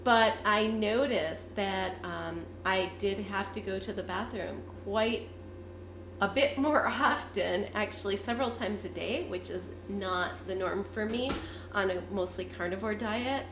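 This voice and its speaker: A fifty-year-old woman, hoarse and congested from a cold, chatting candidly to her viewers. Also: medium pitch, measured pace, a bit bright, echoey room, a little hiss or hum. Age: 50s. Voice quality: raspy. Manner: candid, chatty, with frequent fillers. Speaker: vlogger. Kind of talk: casual talk to camera.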